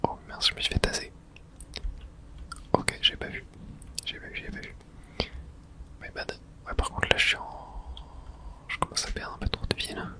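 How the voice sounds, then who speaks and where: A man gives video game commentary in a steady ASMR whisper. He speaks almost entirely without voice, murmuring short, fragmented reactions to himself as he plays. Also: moderate pace, pauses often.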